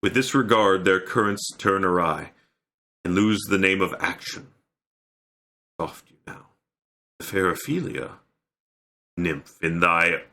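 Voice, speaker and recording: A deep, forceful voice from a man playing a troubled young prince. He broods through a Shakespearean soliloquy in solemn, measured phrases, weighing each line as on a theatre stage. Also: full character voice. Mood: resigned. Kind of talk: Shakespearean dramatic recitation.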